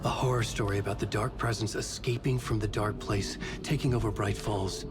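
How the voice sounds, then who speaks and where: An actor playing a haunted middle-aged novelist, narrating a horror game in grave, terse, brooding sentences. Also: male voice, on the low side, steady tone, fairly quick, over music, lightly acted. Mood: grim, brooding. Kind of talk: scripted first-person narration in a horror video game.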